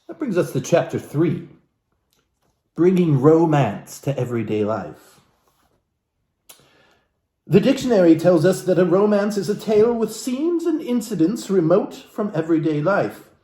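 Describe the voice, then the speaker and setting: Casting: man, mellow and fairly high-voiced, florid mock-genteel host reading a book aloud for laughs, dramatic pauses. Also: lively intonation. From Alaska. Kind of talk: comedic theatrical book reading with asides to viewers. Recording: roomy, echoey room sound.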